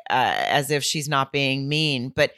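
A young woman with a Southern accent and a low but bright, forceful voice, talking in a chatty, candid way on a podcast. She laughs easily as she goes, and her close mic sounds dry with no room in it. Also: steady tone, measured pace, no echo. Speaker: podcast co-host. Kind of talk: casual recap chat.

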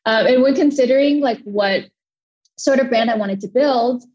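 A woman's voice: fairly high pitch, moderate pace, a little mellow, lo-fi sound. A woman in her twenties from Thailand, a guest answering interview questions in a chatty way, with fillers and restarts. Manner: chatty, many fillers and restarts, quoting her own thoughts. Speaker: guest, brand founder.

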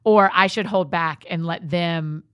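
A woman hosts a casual conversation, eager and affirming. Her voice sits fairly low for a woman. The recording is close and dry. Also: a little slow, smooth, no room sound. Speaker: podcast host. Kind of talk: casual interview chat.

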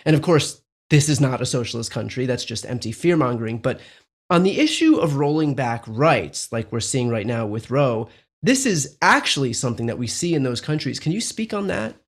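A young adult man hosts a political podcast interview. His voice sits at an ordinary middle pitch. He sets up his questions in a measured, conversational way. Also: lively intonation, husky. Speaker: podcast host.

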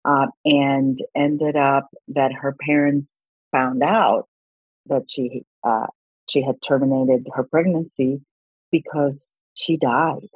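Low husky-voiced woman candidate, from Colombia. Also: dry recording, narrow, tinny audio. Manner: earnest, repeats words when restarting. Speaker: congressional candidate. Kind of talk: political interview.

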